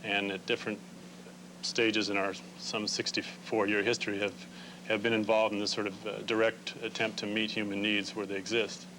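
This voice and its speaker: Man, American accent, fairly low voice, careful explanatory answers, noticeable hum. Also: little pitch movement, moderate pace. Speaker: Quaker relief organization representative, interview guest. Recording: noisy, humming old TV recording.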